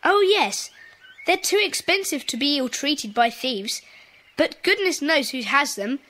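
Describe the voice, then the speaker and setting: A schoolboy leading a club in an audio drama. The voice is high and bright, eager but matter-of-fact. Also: female voice, expressive, measured pace, exaggerated voice. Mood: keen, informative.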